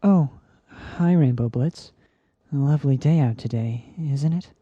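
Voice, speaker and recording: A woman voicing a shy, gentle young male pegasus pony who hesitates and stammers in a fan-made audio story. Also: very deep voice, a little slow, breathy edge, no room sound, dull audio, exaggerated voice. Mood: dreamy. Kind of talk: fan fiction audio drama.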